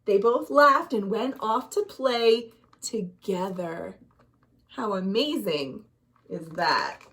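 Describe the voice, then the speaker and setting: An American woman reading a children's story expressively, with dramatic, deliberate emphasis and questions to young listeners. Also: mid-range voice, expressive, a bit bright. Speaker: librarian, storytime reader.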